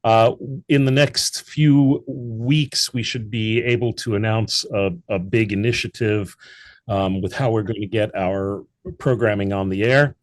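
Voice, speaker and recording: A man's voice: on the low side, fairly even intonation, a little slow. A man being interviewed as a guest, speaking earnestly in long, winding sentences about his theatre work. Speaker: guest, theatre founder and actor. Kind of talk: casual interview over a call.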